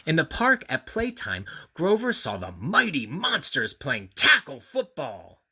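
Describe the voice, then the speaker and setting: A man reading a storybook aloud to children, expressive and confiding, pausing to put questions to the listener. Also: fairly high pitch, breathy edge. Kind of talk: animated read-aloud with friendly asides to children.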